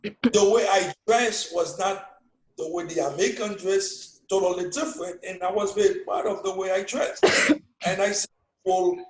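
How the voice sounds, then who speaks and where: Older Haitian man, strong accent, Creole native, lively anecdotes, roomy echo. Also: high-pitched, normal speed. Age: 60s. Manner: animated storytelling, broken English phrasing. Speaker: guest, father. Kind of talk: casual family conversation.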